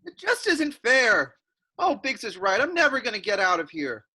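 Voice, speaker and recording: A woman's voice: fairly high pitch, sing-song, normal speed, pushed and bright, husky, exaggerated voice. A woman playing a restless, frustrated young farm boy in a casual way, in a script read. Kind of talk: virtual script table read.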